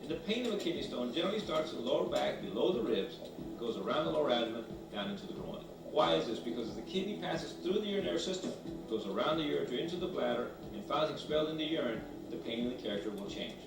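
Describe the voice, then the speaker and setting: Fast, lively TV doctor in heavy echo. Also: male voice, fairly high pitch, creaky. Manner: rhetorical questions, explanatory. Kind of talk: scripted health explainer. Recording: very echoey, distant, noisy programme feed.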